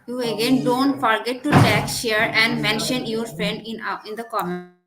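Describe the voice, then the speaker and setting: A woman's voice: on the high side, steady tone, over loud music. Bangladeshi woman hosting a webinar. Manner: formal, halting, restarts her lines. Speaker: webinar host. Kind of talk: formal scripted welcome.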